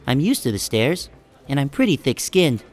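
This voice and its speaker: Audiobook lead: wry, deadpan young sheep narrator male actor, self-conscious, conversational, mid pitch. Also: moderate pace, soft background music. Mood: wry, musing. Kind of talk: first-person audiobook narration.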